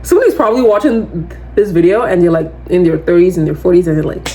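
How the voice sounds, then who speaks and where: A woman in her twenties with a loud voice, hosting a podcast in casual, rambling solo chat, full of "like" and animated asides. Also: lowish voice, fast talker, rough recording. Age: 20s. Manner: chatty, rambling, heavy use of "like"; animated, slips into quoting others. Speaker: podcast host.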